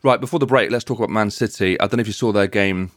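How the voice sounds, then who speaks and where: A man's voice: on the low side, fast, no room sound, clean studio sound. A man hosting a casual football discussion, making emphatic points and repeating phrases to build them up. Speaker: football podcast host.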